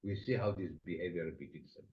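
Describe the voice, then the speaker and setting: A man with an Estonian accent, a psychotherapist answering interview questions thoughtfully, with many pauses. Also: low voice. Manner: reflective, explains with everyday examples, frequent pauses. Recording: dull lo-fi sound.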